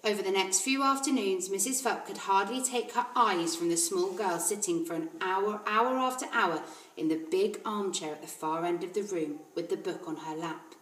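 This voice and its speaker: A woman reading a children's story aloud like a teacher, in an expressive narrating style. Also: mid-range voice, reverberant, poor audio.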